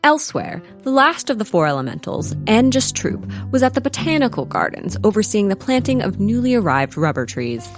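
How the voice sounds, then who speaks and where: A woman plays a storybook narrator in a comedy audio drama. She is arch and theatrical, with very expressive pitch, and music plays clearly behind her. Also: a little fast, hardly any pauses. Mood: arch, storytelling. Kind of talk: scripted comic storytelling narration.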